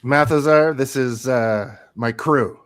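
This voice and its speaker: A man's voice: medium pitch, slow pace, clean tone, natural voice. A man playing a confident, upbeat spaceship commander in a radio theatre read, breezy and encouraging. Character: upbeat, confident male spaceship commander, eager tour guide.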